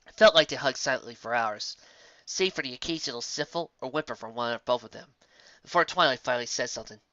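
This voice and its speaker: A woman narrating a fan-fiction story in an expressive storybook style. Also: extremely low pitch, quick pace, no echo. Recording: dull, muffled audio.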